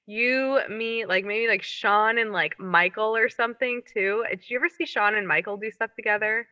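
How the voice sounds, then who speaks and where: A woman's voice: mid-range voice, normal speed, sharp tone. A woman co-hosting a podcast, chatting casually on a slightly dull recording. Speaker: podcast co-host, improviser. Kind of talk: casual chat.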